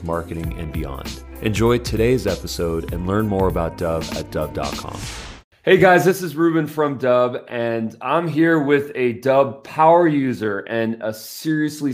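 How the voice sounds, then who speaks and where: Enthusiastic male podcast host. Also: fairly low pitch. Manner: enthusiastic, hyping up the guest. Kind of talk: podcast intro and interview.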